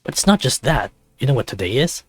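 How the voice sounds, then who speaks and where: A man's voice: mid-range voice, sing-song, fast talker, breathy edge, no echo. A man voicing a laid-back, teasing teenage best friend in a visual novel, casual and playful.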